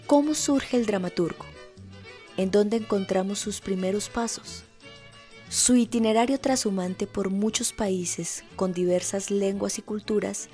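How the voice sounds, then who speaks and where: A woman's voice: medium pitch, warm tone. A woman reading scripted documentary narration. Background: violin music.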